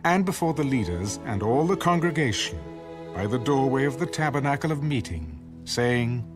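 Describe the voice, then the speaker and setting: A man's voice: mid-range voice, sing-song, moderate pace, lightly acted. A man playing a formal, solemn narrator in a dramatized audio Bible. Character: formal male narrator of scripture. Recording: music bed under the voice.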